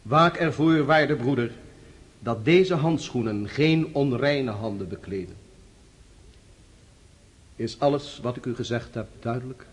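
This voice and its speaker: An actor in a radio drama playing a solemn, commanding master of a secret order, ceremonial and measured. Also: male voice, medium pitch, close to an everyday voice.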